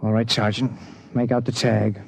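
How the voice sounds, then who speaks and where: A man's voice: flat delivery, moderate pace, vocal fry, husky. A man playing a matter-of-fact military doctor in a radio drama, official and unemotional. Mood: grim, official. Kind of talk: old-time radio drama acting. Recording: muffled, noisy, engine-like rumble behind.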